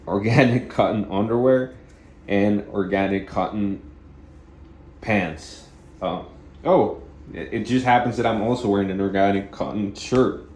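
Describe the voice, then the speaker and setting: Slow, deep-voiced man rambling. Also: warm tone, echoey room. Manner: halting, restarts often, repeats phrases. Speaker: vlogger. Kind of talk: rambling spiritual self-help monologue.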